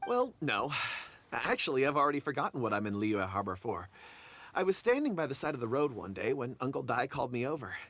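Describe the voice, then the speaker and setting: A man's voice: medium pitch, big pitch swings, a little fast, a bit bright, no echo. A man voicing a cheerful, scatterbrained young man who rambles in an easygoing way. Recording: game dialogue over music.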